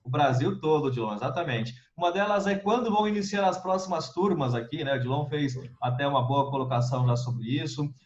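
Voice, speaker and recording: A man hosting a live stream, reading viewer messages and passing the floor to his guests, relaxed and hesitating now and then. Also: fairly high pitch, a little fast, hardly any pauses, muffled recording.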